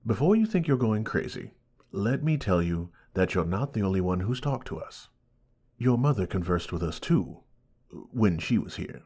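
A man voicing a kindly, fatherly talking teapot in an audio drama, gentle and reassuring. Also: on the low side, very animated, normal speed, husky, dry recording, exaggerated voice.

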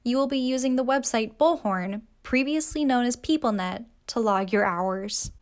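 A woman reading scripted training narration in a measured, instructional manner. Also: fairly high pitch, no echo, clean studio sound.

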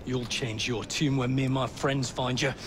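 A man playing a rough Victorian London street thug in a video game. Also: mid-range voice, fairly even intonation, moderate pace, heavy background noise, close to an everyday voice. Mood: menacing. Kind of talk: video game dramatic acting. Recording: game sound effects behind the voice.

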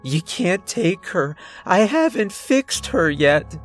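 A performer voicing a deranged, desperate and violent man in a dramatic horror story, agitated and hoarse. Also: sing-song, takes it slowly, soft background music. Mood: angry, threatening. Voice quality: hoarse shouting. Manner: agitated, booming, pleading.

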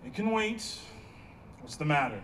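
Guy doing an annoyed dry therapist in a play, highish voice, kinda distant, outdoor noise. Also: big pitch swings, moderate pace, a bit bright. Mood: dry, weary. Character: exasperated, dry, testy therapist and old friend. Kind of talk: staged play reading. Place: outdoor stage. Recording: distant outdoor stage pickup, background noise.

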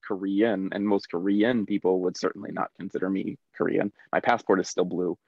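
A man's voice: sits low, little pitch movement, fairly quick, darkish tone, no room sound. A man in his thirties chatting casually as a podcast co-host on a video call, hesitant with many restarts. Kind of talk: casual chat. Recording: dull, dry home mic.

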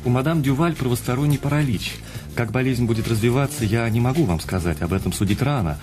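An actor in a radio drama playing a frank hospital doctor who explains grave news. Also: male voice, medium pitch, quick pace, music in the background, lightly acted.

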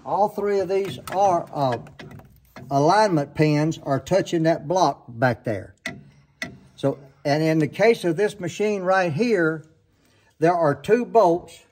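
A man's voice: a little high, slow, darkish tone, slight background noise. An older man hosting a sawmill how-to show, talking in a folksy, rambling way and often backing up to correct himself, recorded on a handheld camera in an open barn workshop. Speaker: sawmill sharpening show host. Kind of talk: casual how-to demonstration.

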